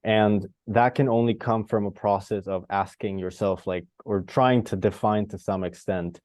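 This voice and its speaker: Low, mellow-voiced man from Costa Rica. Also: fairly even intonation, moderate pace, no echo. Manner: rambling and searching, many fillers and restarts. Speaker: participant. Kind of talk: reflective discussion on a video call. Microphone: video call.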